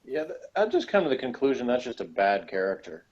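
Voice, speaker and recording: A man talks in a casual, blunt way, reviewing as he goes with a critical slant. His voice sits at an average male pitch, and the recording is a little dull and lo-fi. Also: very animated, brisk, darkish tone. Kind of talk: casual chat reviewing a TV episode.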